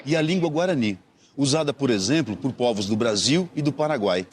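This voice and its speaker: A man with a Brazilian Portuguese accent giving scripted documentary narration in a measured way. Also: medium pitch, lively intonation, noisy background.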